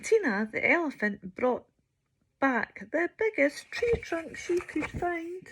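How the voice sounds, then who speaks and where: Female children's storyteller: unhurried, high and theatrical, slipping between full voice and breathy hush. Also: sing-song, slight background noise, poor audio. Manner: theatrical, drops into hushed near-whispers, draws out words. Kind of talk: expressive children's bedtime story reading.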